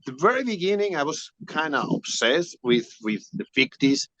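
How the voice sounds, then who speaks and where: A man from Spain with a strong Spanish accent, talking casually as an interview guest, often pausing to search for English words. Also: fairly high pitch, big pitch swings, a bit bright, no echo, low-quality recording. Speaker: musician guest.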